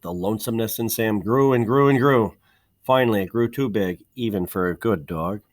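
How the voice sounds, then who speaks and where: A man reading a children's picture book aloud in an expressive, measured storytelling manner, stressing key words. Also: lowish voice. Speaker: storybook reader.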